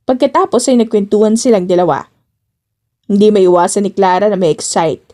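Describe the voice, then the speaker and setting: A woman's voice: medium pitch, a little fast, dark tone. A woman with a Filipino accent narrating a scripted story as an audiobook reader.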